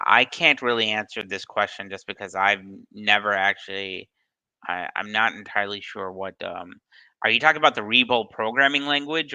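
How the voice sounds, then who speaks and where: A man's voice: on the low side, pushed and bright, smooth, no echo, muffled recording. A man on a video call answering questions in a casual live session, hesitant, with many ums and restarts. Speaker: study group presenter answering questions.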